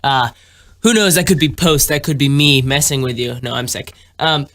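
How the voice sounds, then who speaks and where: A young man hosting a podcast while sick, sounding a little nasal, excited and rambling with lots of fillers. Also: medium pitch, moderate pace. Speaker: podcast host. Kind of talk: casual podcast talk.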